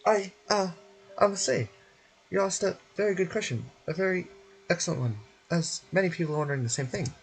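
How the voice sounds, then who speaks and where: A man's voice: high-pitched, brisk, creaky, voice pushed up, rough recording. A man voicing a flustered, pompous official in a game, hesitant, wordy and stiffly polite.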